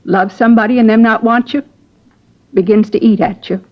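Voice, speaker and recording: A woman playing a defiant, sharp-tongued woman who killed the man she loved, in a 1950s radio drama. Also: fairly high pitch, steady tone, moderate pace, close to an everyday voice. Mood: confessing. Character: bitter middle-aged woman, killer of jilted love. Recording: dull old radio broadcast.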